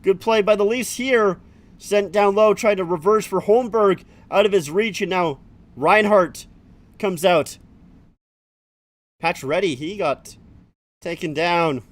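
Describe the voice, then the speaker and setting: A man streaming a live hockey watch-along, calling the play-by-play and chatting with viewers between plays. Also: high voice. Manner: rapid running play-by-play, swears, chats with viewers.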